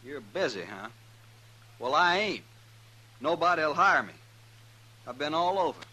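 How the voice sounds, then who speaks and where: An actor playing a surly, aggrieved, down-on-his-luck gambler in a 1950s radio western, belligerent and rough-spoken. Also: on the high side, very animated, unhurried, pushed and bright, heavily acted. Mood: bitter, threatening. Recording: faintly noisy old radio recording.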